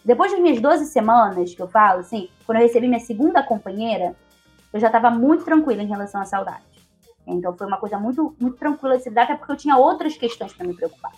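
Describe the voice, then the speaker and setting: A Brazilian woman in her twenties, a guest telling her own story in a relaxed, chatty way. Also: on the high side, fast, faint music behind. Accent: Brazilian Portuguese. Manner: chatty, leans on tag questions. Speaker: interview guest. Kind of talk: casual personal storytelling.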